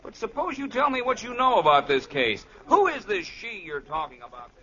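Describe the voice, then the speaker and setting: An actor playing a brisk, suspicious police sergeant in 1940s radio comedy, official and insistent in manner. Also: male voice, high-pitched, big pitch swings, sharp tone, exaggerated voice. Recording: dull old radio recording.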